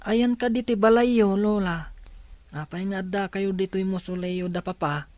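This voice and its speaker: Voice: medium pitch, steady tone, normal speed, darkish tone, no room sound, natural voice. A performer playing a caring, protective older village child in a radio drama, earnest and kindly. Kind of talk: Ilocano radio drama acting.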